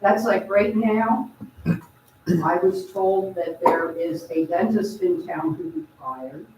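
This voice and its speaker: A council member giving measured, formal remarks at a borough council meeting. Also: medium pitch, a little mellow, a little hiss or hum. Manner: measured, deliberate, announcing decisions. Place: echoey council chamber. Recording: dull, echoey hall from far off.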